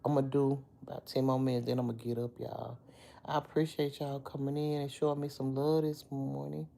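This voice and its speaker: A middle-aged woman with a deep, slightly hoarse voice, chatting casually on a livestream and answering commenters. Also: little pitch movement, brisk, mellow. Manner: chatty, talks back to commenters by name. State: a little hoarse. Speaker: livestream host. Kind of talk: casual livestream chat with viewers.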